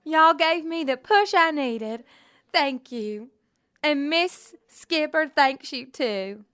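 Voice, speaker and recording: A woman's voice: high voice, expressive, slow, a bit bright, full character voice. A woman playing a sweet, innocent young pageant girl with a Southern US accent, gushing in an audio drama. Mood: grateful, sincere. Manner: breathless gushing, repeats herself.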